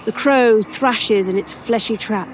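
A woman's voice: fairly high pitch, measured pace, close to an everyday voice. Actress as a theatrical woman, faint noise. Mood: ominous narration. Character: wry, menacing injured woman narrating her tale. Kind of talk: audio drama acting and narration.

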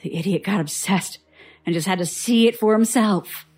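An actress playing a bitter, guarded young woman, a traumatized survivor, in an audio drama, husky and sardonic. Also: medium pitch, moderate pace, a little mellow, faint music behind, lightly acted. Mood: agitated. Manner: sardonic, defensive.

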